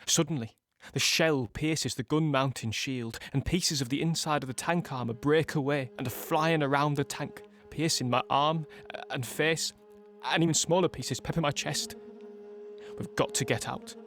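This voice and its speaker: An actor playing a young wounded British soldier in an audio drama, reliving battle in a halting present-tense monologue with repeated words. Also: male voice, fairly high pitch, a bit bright. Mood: shaken, urgent. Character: young British soldier, wounded in Normandy, shaken but dogged.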